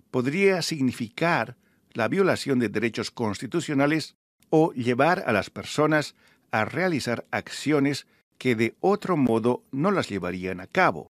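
A man hosting a weekly news podcast, reading in a measured, formal way. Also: mid-range voice, no room sound. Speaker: podcast host. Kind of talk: news narration. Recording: dry studio with music bed.

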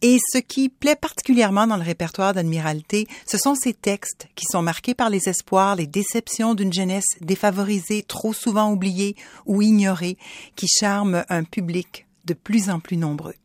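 A woman hosting a radio show, presenting in an expressive, outgoing manner. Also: mid-range voice, moderate pace, no echo. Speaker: radio host.

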